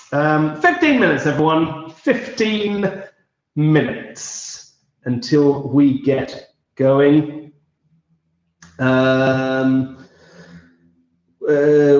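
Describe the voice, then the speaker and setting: A man from Belgium hosting a pub quiz stream, chatting casually and reading out messages in a meandering, friendly way. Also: mid-range voice. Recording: roomy, slightly dull audio.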